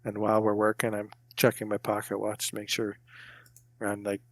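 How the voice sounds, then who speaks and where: A man's voice: on the low side, completely flat delivery, brisk, gravelly, dry recording. A man playing a tabletop game, describing his character's actions in casual talk. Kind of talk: casual roleplay chat.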